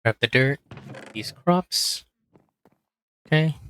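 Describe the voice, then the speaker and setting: A man with a hoarse voice, quietly talking himself through a game as he plays, in a relaxed, slow, muttering way. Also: fairly high pitch. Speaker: gaming video host. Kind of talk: casual gameplay commentary.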